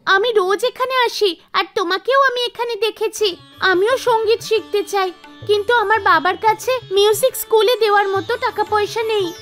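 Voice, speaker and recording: A woman's voice: very high voice, steady tone, normal speed, fairly bright tone, soft background music, pitched above the performer's own voice. A woman voicing an eager, polite seven-year-old girl in a cartoon story, with a childlike, pleading manner. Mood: eager, shy.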